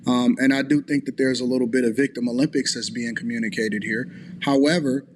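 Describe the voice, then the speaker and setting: Male commentator, measured and articulate, faint noise behind. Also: mid-range voice, steady tone. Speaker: commentator in a played clip. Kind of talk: political commentary.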